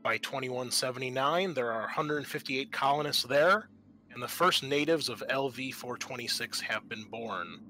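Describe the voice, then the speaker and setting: A man's voice: medium pitch, moderate pace, bright, forceful tone, rough recording. A man hosting a roleplaying podcast as game master, moving between casual chat and scene-setting narration. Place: online group call.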